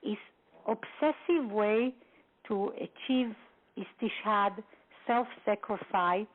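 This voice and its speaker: A woman from Israel giving a halting expert briefing full of fillers and restarts, heard over a phone line. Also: fairly high pitch. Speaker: guest expert on a phone seminar. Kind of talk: lecture-style briefing.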